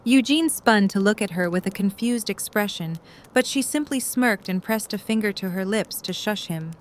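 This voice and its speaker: A woman's voice: medium pitch, normal speed, a little hiss or hum. A woman narrating a scripted story in an audio drama.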